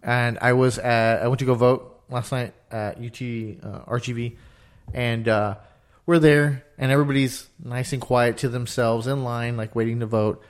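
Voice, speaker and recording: Casting: mid-pitched man, halting podcast chat with sudden quick bursts. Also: steady tone. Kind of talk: casual chat.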